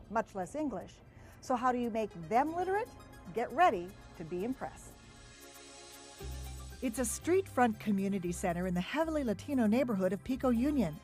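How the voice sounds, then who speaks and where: A woman's voice: a little high, darkish tone. A woman reporter giving scripted, upbeat narration for a TV news feature. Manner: upbeat, clear reporter delivery.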